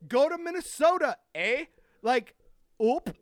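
A man's voice: very high-pitched, lively intonation, unhurried, no echo. A man ranting heatedly and profanely in a casual chat among friends.

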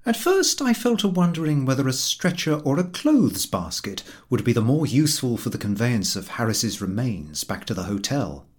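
Male narrator for comic fiction audiobook; husky edge, very lively intonation. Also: mid-range voice, normal speed, hardly any pauses. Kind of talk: scripted narration of a comic novel.

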